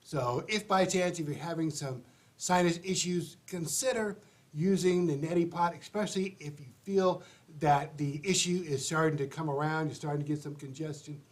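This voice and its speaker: A stuffy, congested man hosts his advice show, rambling along in a casual, chatty way. His voice sits a little on the high side for a man. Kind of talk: casual livestream monologue.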